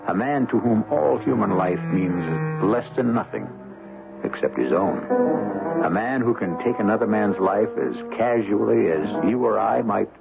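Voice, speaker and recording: Gravelly, theatrical male radio narrator. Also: on the low side. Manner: ominous, deliberate, theatrical. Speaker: host and narrator. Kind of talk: dramatic scripted radio narration. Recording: thin, phone-like old radio recording. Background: music.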